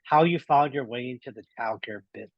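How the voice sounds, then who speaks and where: A man's voice: medium pitch, fast talker, no echo, dull audio. A man hosting a podcast interview, upbeat and rambling, often restarting his sentences. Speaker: podcast host.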